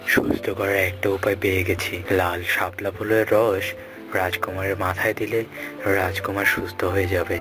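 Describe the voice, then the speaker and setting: A man playing a worried, respectful royal physician in a cartoon story, speaking gravely and deliberately. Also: low voice, flat delivery, over music, lightly acted. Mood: solemn. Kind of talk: dramatic cartoon story acting.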